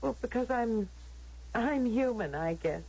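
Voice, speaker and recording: Young woman violinist, high husky voice, anguished, hesitant, dramatic radio acting, muffled recording. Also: expressive, unhurried, warm tone, exaggerated voice. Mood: dazed, wistful. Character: anguished young woman violinist torn between dream and reality.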